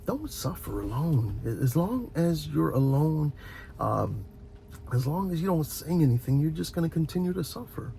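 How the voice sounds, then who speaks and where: A man giving a rambling, encouraging ASMR monologue as a video host, halting and earnest, repeating phrases for emphasis. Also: fairly high pitch, sing-song, creaky, dark tone, a little hiss or hum.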